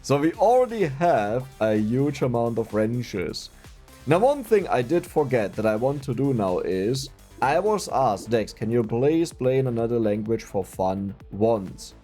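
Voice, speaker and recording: A young German man talks through a game run in a loose, joking way, with a German accent, and he laughs at his own slips. His voice is mellow and a little husky, and his pitch swings a lot. Faint game music plays under him. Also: very animated, measured pace. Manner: chatty, self-mocking, laughs often. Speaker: gaming YouTuber. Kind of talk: casual gameplay commentary.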